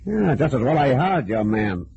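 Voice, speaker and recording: A smug, sly smuggler from a vintage detective radio play. His intonation swings freely. The sound is dull, with clear background noise. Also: mid-range voice, very animated, moderate pace, a little mellow. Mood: suave, casual. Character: smug crooked male gambler and smuggler, suavely sly. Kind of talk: old-time detective radio drama acting.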